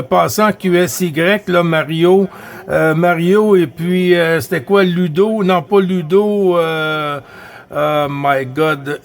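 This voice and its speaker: High-pitched Quebec man on CB radio. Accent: Quebec French. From Quebec, Canada. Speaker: amateur CB radio operator. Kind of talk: casual CB radio contact, calling stations.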